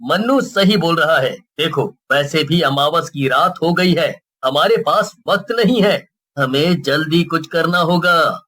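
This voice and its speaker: Man as a bright, high-voiced protective father. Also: unhurried. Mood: concerned. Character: concerned, protective father, earnest and decisive. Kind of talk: Hindi cartoon character acting.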